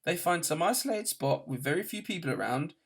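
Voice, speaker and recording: A man's voice: fairly high pitch, animated, brisk, gravelly, husky, voice pushed up, full character voice. A man plays an easygoing galactic hitchhiker in a comic storybook. He is breezy and pleased with himself, explaining everything in a matter-of-fact way. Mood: smug, amused. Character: breezy, self-satisfied alien travel-guide researcher, easygoing man.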